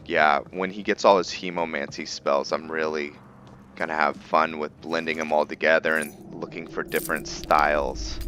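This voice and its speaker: A man's voice: a bass voice, pushed and bright, a little hiss or hum. A man giving casual, chatty gameplay commentary as a video host, thinking aloud with enthusiasm.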